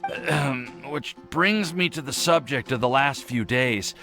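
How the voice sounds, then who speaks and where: A man's voice: mid-range voice, a little slow, sharp tone. A man playing an earnest, flustered young alchemist in a video game, hesitant but formal in his wording. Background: faint game music.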